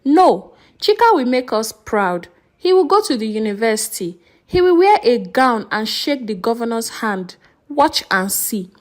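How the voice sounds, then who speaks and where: A woman's voice: a little high, sing-song, measured pace. A woman voicing a proud, doting and combative village mother in a folktale, scolding and insistent. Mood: proud hopeful.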